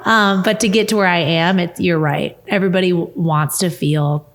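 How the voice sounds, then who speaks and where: A woman's voice: on the low side, fairly even intonation, moderate pace. A woman hosting a casual podcast conversation, chatty and upbeat, laughing often. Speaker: podcast host.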